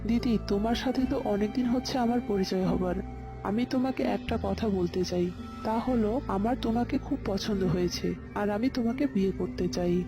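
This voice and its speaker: A woman's voice: medium pitch, a little fast, close to an everyday voice. A woman voicing a kind, earnest young man in love in a scripted cartoon. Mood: earnest, loving. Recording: loud background music. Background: music.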